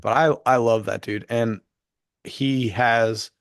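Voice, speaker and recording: A man's voice: mid-range voice, fairly even intonation, takes it slowly, smooth, dry recording, clean studio sound. A man co-hosting a podcast, chatting in an enthusiastic, slangy and jokey way, often saying "dude". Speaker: podcast co-host. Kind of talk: casual enthusiastic chat.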